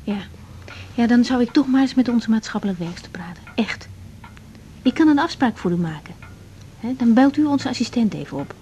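Actress as a direct young doctor, higher-pitched voice. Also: female voice, animated, moderate pace, mellow, dull audio, close to an everyday voice. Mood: gentle, encouraging. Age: young adult. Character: direct, caring young female doctor with firm temper. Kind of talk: naturalistic Dutch radio drama acting. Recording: faint background noise.

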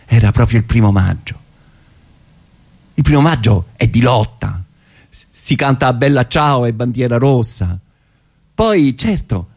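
A man's voice: mid-range voice, darkish tone. A middle-aged man with a Roman accent performing a theatrical first-person monologue in a conversational, hesitant way with wry asides.

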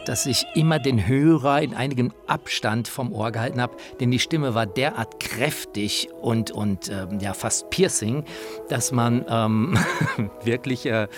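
A man's voice: mid-range voice, measured pace. A man talking about opera in an emphatic, reflective way, as the author and presenter of a radio feature. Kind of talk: personal spoken commentary on opera. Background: opera music.

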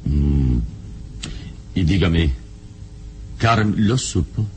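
An actor playing a calm, solemn adventurer hero, questioning in a measured, dramatic old radio serial style. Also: male voice, deep voice, exaggerated voice. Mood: solemn, intrigued. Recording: noisy old radio serial recording, hum.

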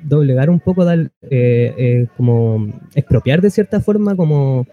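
A man with a Chilean accent talking as a musician guest in a casual interview, halting and colloquial. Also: mid-range voice, expressive. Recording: dull lo-fi sound with music behind.

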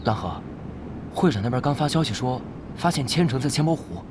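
A man's voice: medium pitch, expressive, a little fast, background noise, close to an everyday voice. A man acting an alert young gamer and loyal sidekick in a Chinese radio drama, watchful as he reports. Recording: background music under the voice.

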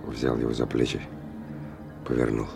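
An actor in a radio play voicing a hard-drinking, world-weary male stalker, brooding and coarse in his colloquial speech, with a husky low voice. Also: monotone, normal speed, music in the background, heavily acted. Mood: nervy, bitter. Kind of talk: radio play monologue and dialogue.

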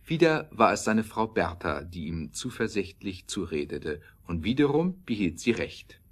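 Male narrator, deep voice, measured storytelling with dramatic emphasis for a scripted audio play.

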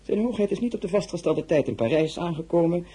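An actor playing a suave, imperious tsar in a radio drama, speaking formally and in measured phrases with a husky voice. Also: male voice, a little high, animated, warm tone. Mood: probing, puzzled. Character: suave, imperious Tsar of Russia, courtly and probing.

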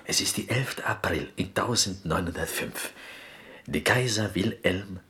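An actor playing an earnest, excitable Greek prisoner in a radio drama, telling stories insistently in halting, broken speech with a Greek accent. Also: male voice, low-pitched. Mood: reverent, excited. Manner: halting broken German, insistent, half-whispered storytelling.

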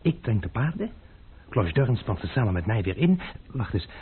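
Dashing, self-assured adventurer, very lively voice, tinny broadcast with clear hiss. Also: male voice, lowish voice, normal speed. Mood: commanding. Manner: bold, commanding and playful. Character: dashing, confident young male adventurer in love. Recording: tinny noisy old broadcast.

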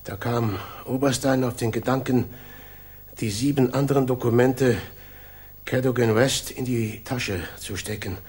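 An actor in a German radio drama playing a cornered, fearful colonel confessing as a traitor, halting under pressure. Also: male voice, medium pitch, steady tone, a little mellow, husky. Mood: tense confession. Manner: halting, stammering when pressed.

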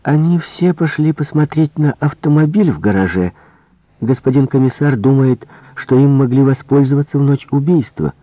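A man voicing a chatty, indignant young French housemaid who gossips with animated exclamations. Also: mid-range voice, normal speed, no echo, pitched above the performer's own voice, lightly acted. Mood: excited, indignant. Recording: muffled, phone-like narrow-band audio.

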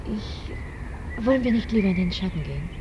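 A woman playing a fragile, hesitant young woman in a science-fiction radio play, softly spoken. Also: medium pitch, animated, moderate pace, highs cut off, natural voice. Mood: uneasy. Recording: slightly noisy 1970s radio recording.

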